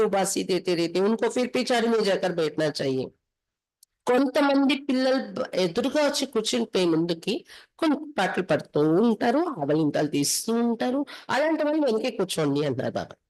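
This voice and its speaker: A woman's voice: on the high side, big pitch swings, fairly quick, a little mellow, low-quality recording. A woman from India with a Telugu accent, reading scripture aloud and explaining it instructively as a spiritual teacher.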